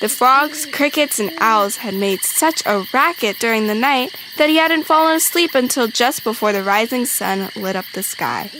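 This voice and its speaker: Expressive woman narrating a children's storybook. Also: a little high, normal speed, few pauses, background noise.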